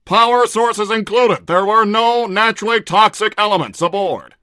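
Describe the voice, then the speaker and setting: A man playing a literal-minded combat robot who speaks in formal, deadpan, stilted sentences in a livestream roleplay. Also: high voice, normal speed, clear, resonant tone, no room sound, clean studio sound. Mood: deadpan, dismissive. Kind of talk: in-character livestream roleplay, reading viewer messages.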